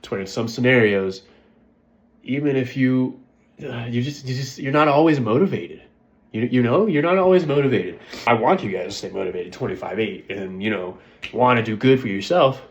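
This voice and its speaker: A man's voice: medium pitch, lively intonation, moderate pace, lo-fi sound. Congested man giving casual motivational talk. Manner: conversational, keeps asking "right?". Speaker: vlogger.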